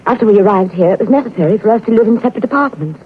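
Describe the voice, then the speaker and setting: An actress playing an anxious, grieving young wife in an earnest, emotional 1940s radio drama style. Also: female voice, mid-range voice, animated, very fast, lightly acted. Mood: anxious, confiding. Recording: dull, hissy vintage radio recording.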